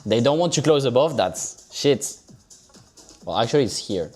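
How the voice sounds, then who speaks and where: A man's voice: mid-range voice, animated, moderate pace, darkish tone, soft background music. A man with a French accent giving casual live trading commentary on a stream, loose and chatty, often saying "so basically" and checking in with "okay?". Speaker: livestream crypto trader.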